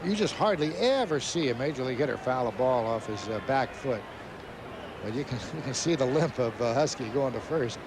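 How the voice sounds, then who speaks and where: A man's voice: medium pitch, lively intonation, a little fast. A man giving conversational, explanatory live commentary on a baseball broadcast. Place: ballpark broadcast booth. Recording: noisy 1990s stadium broadcast. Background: ballpark ambience.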